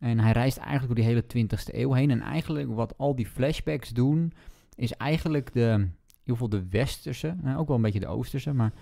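A man's voice: mid-range voice, brisk, vocal fry, hardly any pauses, mellow. A man co-hosting a podcast in a casual discussion about books. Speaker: podcast co-host.

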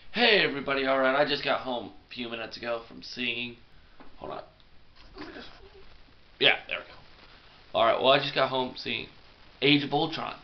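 An excitable young man with a twang talks through a casual film review, rambling and self-deprecating, his voice a bit stuffy from a cold. He sits in a small bedroom, picked up by a camera mic that sounds slightly dull and lo-fi. His pitch sits in a middle range. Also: normal speed, pauses often. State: congested with a cold. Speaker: movie review vlogger.

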